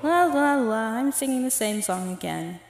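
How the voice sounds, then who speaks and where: Woman acting sweet young cartoon girl, high voice, lively swings, cutesy, expressive, music behind. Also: moderate pace. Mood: cheerful. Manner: cutesy, sing-song, expressive. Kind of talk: comic dub voice acting.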